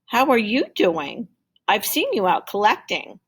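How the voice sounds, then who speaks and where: A woman voicing a kindly, down-on-his-luck man in a storybook, with a folksy, low-key way of talking. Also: sing-song, unhurried, vocal fry, slightly rough, full character voice. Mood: friendly, curious.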